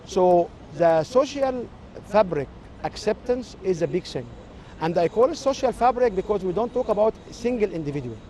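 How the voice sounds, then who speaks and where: A man with an Egyptian accent and native Arabic, giving measured, explanatory interview answers as a technology expert. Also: sits high, animated, dark tone, a little hiss or hum.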